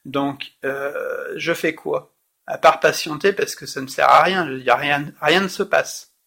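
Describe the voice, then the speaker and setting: In a recorded phone call, a man presses a complaint with exasperated, insistent questions. His voice sits a little high and has a sharp, tense edge. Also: a little slow, creaky. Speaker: caller, customer complaining.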